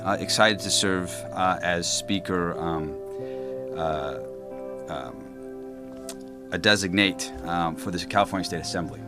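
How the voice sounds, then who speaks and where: A man in public office who talks with a stutter, pausing often on fillers before hard words. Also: sits low. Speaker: state politician. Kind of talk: speaking at a public event. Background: background music.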